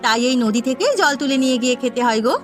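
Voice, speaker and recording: A woman's voice: sits high, rapid-fire, soft background music, natural voice. A woman voicing a poor, earnest and deferential village girl, an elder sister in a cartoon story. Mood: polite.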